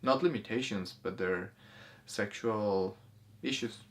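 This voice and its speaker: A man co-hosting a casual review conversation, speaking haltingly and rephrasing as he goes. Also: on the low side. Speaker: co-host of a movie review. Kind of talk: casual conversational discussion of film and spirituality.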